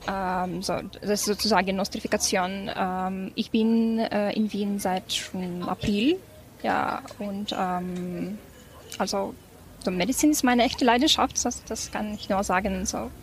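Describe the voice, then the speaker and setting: A woman's voice: medium pitch, steady tone, unhurried, slight background noise. A woman in her twenties from Ukraine with a Ukrainian accent, answering interview questions hesitantly with many fillers. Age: 20s. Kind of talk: casual interview. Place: café.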